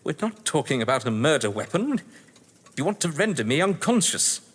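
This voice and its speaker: Older British gentleman villain, played by a male actor: high-pitched, florid, scheming, audio-drama delivery, echoey hall. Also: very animated, normal speed, slightly rough. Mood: suave, scheming. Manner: florid, pompous, sardonic. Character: theatrical, scheming upper-class male crime novelist and manor host. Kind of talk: stage-play audio drama acting.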